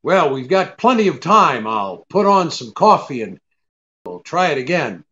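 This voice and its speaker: An actor playing a cynical, tough American private detective in a 1940s-style radio drama, with a sardonic, clipped manner. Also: male voice, fairly high pitch, very animated, a little slow, sharp tone, highs cut off, exaggerated voice. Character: cynical, wisecracking hard-boiled male private detective.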